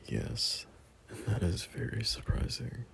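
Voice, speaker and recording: A man playing a gentle, caring boyfriend at bedtime in an intimate ASMR roleplay, speaking softly in a breathy half-whisper with hesitant pauses. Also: on the low side, big pitch swings, a little hiss or hum, exaggerated voice. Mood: soothing, concerned. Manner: soft, slow, hesitant, reassuring.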